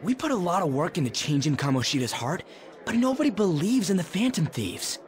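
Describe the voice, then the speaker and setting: Brash teenage boy, swinging pitch. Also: sing-song, fairly quick. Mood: earnest, thoughtful. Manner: rough, slangy, blunt. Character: brash, hot-headed male teenage delinquent student. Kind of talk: English video game voice acting. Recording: music behind the voice.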